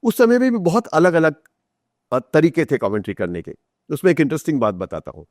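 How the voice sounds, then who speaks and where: A man's voice: a little high, huge pitch swings, a little fast, a little mellow, dry recording, clean studio sound. A middle-aged Indian man speaking as a podcast guest, explaining and telling anecdotes conversationally, mixing in English phrases. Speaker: interview guest, author. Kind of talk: conversational storytelling in a podcast interview.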